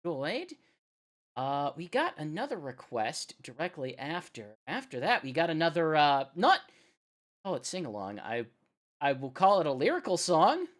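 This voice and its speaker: A radio show host giving casual live-stream commentary while playing a rhythm game, in a rambling, halting, self-deprecating way. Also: mid-range voice, big pitch swings.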